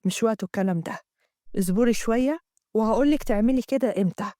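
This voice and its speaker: Woman voicing young Egyptian suitor, low soft murmur, smooth and manipulative, brisk, dry close sound. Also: no echo, natural voice. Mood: wooing. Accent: Egyptian Arabic. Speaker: storyteller voicing a character.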